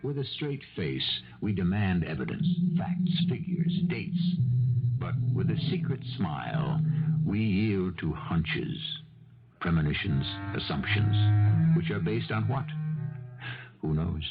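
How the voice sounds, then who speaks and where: A man hosting a radio mystery drama with theatrical scripted narration, wry, deliberate and full of rhetorical questions. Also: low voice, sing-song, creaky. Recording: muffled old radio broadcast recording. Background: loud music.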